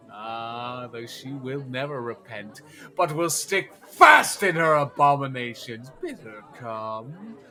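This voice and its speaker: An actor playing a fanatical prophet raging against sin, declaiming in an oratorical classical audio drama style. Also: male voice, a little high, bright, forceful tone, exaggerated voice. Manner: ranting, oratorical, archaic solemn delivery.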